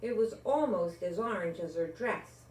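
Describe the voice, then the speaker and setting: An older woman reads a children's story and talks to young viewers in a deliberate, expressive way. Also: on the low side, a little hiss or hum. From Rhode Island, United States. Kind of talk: children's storybook read-aloud and friendly address to young viewers. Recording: echoey room, distant microphone.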